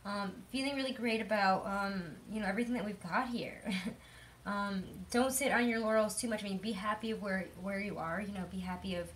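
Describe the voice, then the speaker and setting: A young woman with a hoarse voice giving a chatty, rambling tarot reading, full of fillers. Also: mid-range voice, brisk, slight background noise, rough recording. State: hoarse from illness. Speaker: tarot reader. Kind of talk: casual tarot reading monologue.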